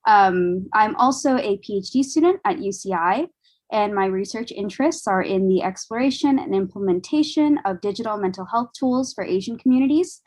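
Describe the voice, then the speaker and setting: Young woman guest on a podcast, earnest, talks in measured steady way, lots of ums. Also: medium pitch. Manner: earnest, measured, frequent "um" and "you know". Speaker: guest, doctoral student. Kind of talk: podcast roundtable discussion.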